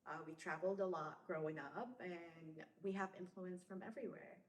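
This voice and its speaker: Young woman guest from Somalia, relaxed and rambling, lowish voice, echoey room. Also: normal speed. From Somalia, raised in Saudi Arabia. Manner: relaxed storytelling, many fillers and likes. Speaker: podcast guest. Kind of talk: casual interview chat.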